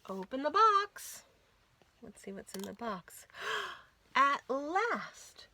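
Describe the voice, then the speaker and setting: A woman hosting a children's storytime, reading picture books aloud in an expressive, sing-song way. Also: high-pitched. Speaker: children's library storytime host. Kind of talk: animated picture-book read-aloud for young children.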